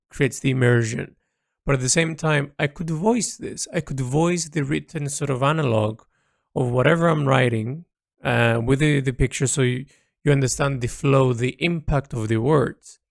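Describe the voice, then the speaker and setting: A man talking casually and reflectively in a personal audio log, halting as he searches for words and repeats himself. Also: mid-range voice, vocal fry, dry recording, clean studio sound. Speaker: creator recording an audio log.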